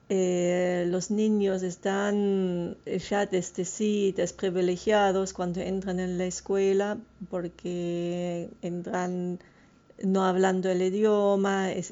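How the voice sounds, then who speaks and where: A woman's voice: mid-range voice, dark tone. A woman interviewer asking long, thoughtful questions that she draws out with fillers. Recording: dull lo-fi recording.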